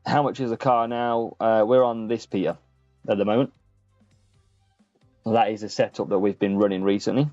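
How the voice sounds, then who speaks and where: A man's voice: fairly low pitch, fairly even intonation, normal speed, long pauses, darkish tone, no echo. A man casually commentating on a live gaming stream, chatting to viewers and addressing them by name. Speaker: streamer and host of a gaming channel. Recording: muffled lo-fi sound, music behind. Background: faint background music.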